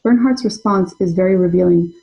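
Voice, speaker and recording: A young adult woman presenting an academic paper in a measured, scholarly way. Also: lowish voice, gravelly, dark tone, soft background music, rough recording. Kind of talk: reading a prepared conference paper.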